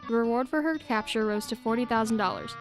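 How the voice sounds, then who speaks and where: A woman's voice: on the high side, fast, music in the background. A female student reader giving scripted narration for a school radio drama.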